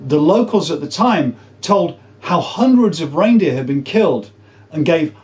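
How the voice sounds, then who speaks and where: A man in his forties explaining science to camera as a presenter, with emphatic, drawn-out stress on key words. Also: fairly high pitch, expressive, a little mellow. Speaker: presenter of a space science video series. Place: small indoor room.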